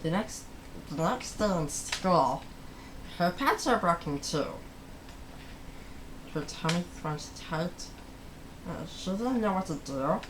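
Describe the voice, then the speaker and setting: A person reading a story aloud for children as host, with a deliberate, halting delivery full of pauses. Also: mid-range voice, expressive, noisy background.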